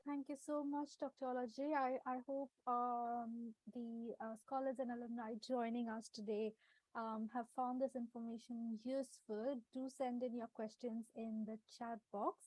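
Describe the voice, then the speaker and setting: A woman's voice: fairly high pitch, little pitch movement, normal speed, darkish tone, dry recording, low-quality recording. A woman hosting a webinar, politely guiding the panelists and often saying "um" and "uh". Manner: polite, with frequent "um" and "uh". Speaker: webinar host and moderator. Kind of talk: moderating a webinar. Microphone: video call microphone.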